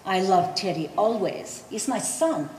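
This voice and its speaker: A woman's voice: mid-range voice, expressive, unhurried, a little mellow, close to an everyday voice. A woman playing a devoted immigrant mother in a stage play, speaking terse broken English. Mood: firm, insistent. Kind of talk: live one-act stage play. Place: art gallery performance space. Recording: echoey room from afar, faint noise.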